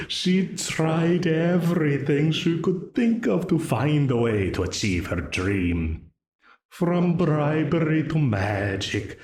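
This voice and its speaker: A man's voice: mid-range voice, darkish tone, roomy sound. A man voicing a smug, menacing male demon who offers a deal, mocking and condescending, laughing often, in an audio roleplay. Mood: gloating.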